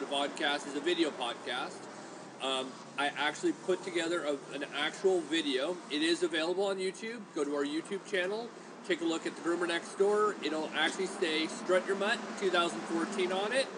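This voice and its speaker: A showy man hosts a casual podcast, speaking straight to his listeners like an entertainer. His voice sits a little high for a man. A clear hiss runs under the recording. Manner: showy, self-styled entertainer, talks to listeners. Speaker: podcast host. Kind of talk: casual chat. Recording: noisy home recording.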